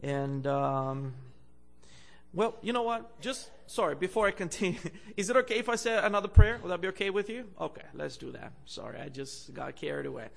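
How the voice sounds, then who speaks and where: Young male guest preacher from Bulgaria, slightly high and gravelly, halting delivery with fillers. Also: lively intonation. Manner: hesitant, many fillers, louder when preaching and reading scripture. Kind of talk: sermon. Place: church.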